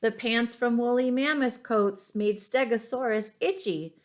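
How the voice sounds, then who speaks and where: Slow-paced woman reading to young children. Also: on the high side. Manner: expressive, addresses children with questions. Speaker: children's storytime reader and teacher. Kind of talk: read-aloud storytelling for young children with asides and questions.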